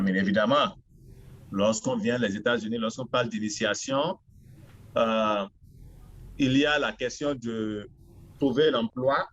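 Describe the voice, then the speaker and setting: Man in his forties, Beninese accent, slow halting delivery, interview guest, dull lo-fi audio. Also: medium pitch, fairly bright tone. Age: 40s. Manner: halting, deliberate. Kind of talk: answering interview questions.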